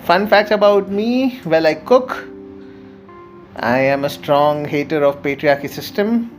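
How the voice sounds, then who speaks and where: Man, Indian accent, slightly high voice, friendly, chatty, poet. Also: a little slow. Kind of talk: casual self-introduction and poem reading. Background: soft music.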